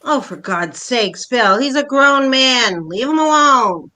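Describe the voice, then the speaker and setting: A blunt, unsentimental middle-aged wife and mother acted by a woman in an amateur play reading. The voice is high and bright with a forceful edge, and the delivery is brusque and impatient. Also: lively intonation, slow, exaggerated voice. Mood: exasperated. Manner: brusque, matter-of-fact, impatient.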